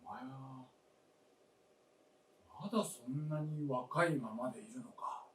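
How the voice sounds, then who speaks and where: Man acting a frail, grieving old husband, roomy stage. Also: mid-range voice. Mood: tender regret. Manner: halting, hushed, breathy murmurs with emotional surges. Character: grieving, frail old husband longing for his late wife. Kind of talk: live staged radio drama acting. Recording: roomy stage, distant mic, faint noise.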